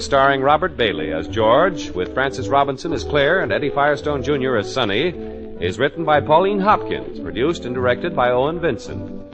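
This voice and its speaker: Animated American radio announcer, male. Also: fairly high pitch. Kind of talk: 1940s radio announcing, scripted sponsor message. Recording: dull old radio recording with music.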